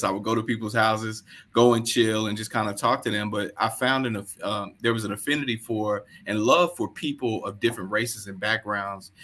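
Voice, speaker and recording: Low-voiced laid-back man on a call. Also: a bit bright. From High Point, North Carolina. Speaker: panel guest. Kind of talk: casual chat on a video call. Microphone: video call.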